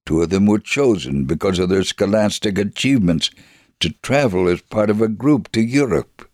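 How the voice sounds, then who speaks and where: An actor playing a devout, steadfast Israeli father in an earnest, deliberate, theatrical dramatic reading of a memoir. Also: sits low, a little mellow, no room sound, clean studio sound. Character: devout, steadfast Israeli father telling his life story.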